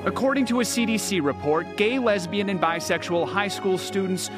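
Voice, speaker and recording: A man with a high-pitched voice narrates a scripted news report. Music plays clearly behind his reading. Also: normal speed.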